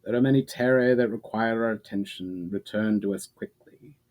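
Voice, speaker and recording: A silky, disembodied villain, as a male storyteller plays him, speaks out of a magic portal in a fantasy audiobook. The voice is deep and unhurried, offering false reassurance while coldly weighing its plans. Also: darkish tone, close to an everyday voice. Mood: coldly calculating.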